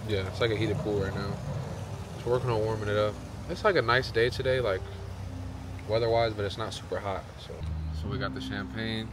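A man's voice: on the low side, steady tone, brisk. An American man in his twenties chatting casually as a vlogger. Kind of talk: casual vlog chat. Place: outdoors in a backyard hot tub. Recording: noisy outdoor water and ambience.

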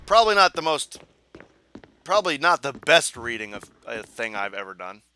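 A young man commenting on a video game as he plays, joking and mocking himself, with a hoarse voice that he says is going. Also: medium pitch, normal speed. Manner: joking, self-mocking, animated. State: hoarse, losing his voice. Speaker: gaming channel host. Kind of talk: casual let's play commentary.

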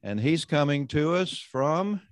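A man hosting an online roundtable, introducing panelists and leading a prayer in a measured, conversational way. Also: on the high side, animated, no echo, clean studio sound. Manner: measured, conversational, often slowing down. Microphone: video call.

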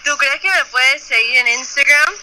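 A woman's voice: sits high, normal speed, bright, forceful tone, slight background noise. A young woman from Spain speaking effusively as a fan on a phone call. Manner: gushing, effusive.

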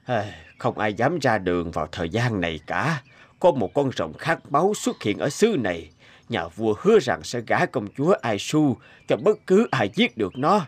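Grave old cartoon villager. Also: male voice, mid-range voice, sing-song, moderate pace, slightly rough, no room sound. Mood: grave warning. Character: fearful old villager warning of danger. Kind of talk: dubbed cartoon fairy tale acting.

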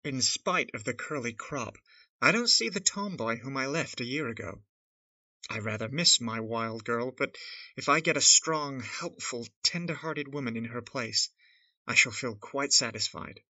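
Loving father, gentle praise, bright tense voice, dull dry audio, radio drama. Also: mid-range voice, expressive, no room sound, full character voice. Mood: tender. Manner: warm, measured praise. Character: loving father home from war, gentle and proud.

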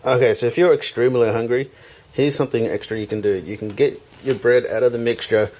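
A man's voice: medium pitch, fairly even intonation, fast talker, a little mellow. A man in his thirties giving an informal, chatty cooking tutorial as the host, talking directly to viewers. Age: 30s. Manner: informal, addresses viewers as guys. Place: home kitchen, indoors. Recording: clearly noisy home kitchen recording.

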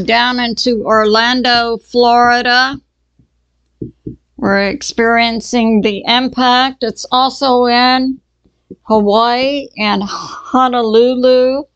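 A woman hosting her own channel, giving casual news commentary at a slow, halting pace with frequent fillers and restarts. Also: on the high side, a bit bright, no echo, lo-fi sound. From Texas. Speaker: channel host.

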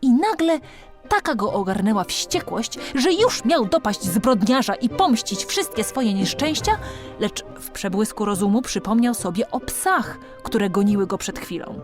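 A woman's voice: on the high side, animated, unhurried, soft background music. A woman reading a children's storybook as an audiobook narrator, expressively and with dramatic emphasis.

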